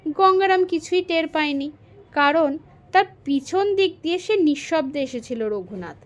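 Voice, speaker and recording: A woman narrating a story aloud in an expressive, dramatic and deliberate storytelling style. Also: high-pitched, dull audio.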